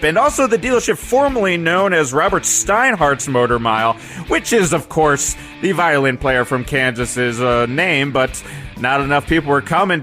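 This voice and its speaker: Guy doing a folksy radio promo guy, rambly huckster, sharp highish voice, music behind. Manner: rambling, eager huckster patter. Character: chirpy, folksy male car dealership remote radio reporter. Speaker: roving radio reporter. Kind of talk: parody live remote radio broadcast. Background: music with guitar behind the voice.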